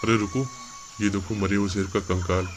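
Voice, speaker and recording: A man's voice: on the low side, level tone, normal speed, music in the background, natural voice. A man voicing a foolish, cocky village magician with a brash manner in a children's story. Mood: eager.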